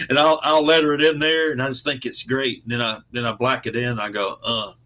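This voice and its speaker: A man's voice: medium pitch, steady tone, a little fast, bright, forceful tone. A man chatting casually as an interview guest, modest and folksy, often repeating words as he goes. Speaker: guest comic book creator. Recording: dull lo-fi call audio.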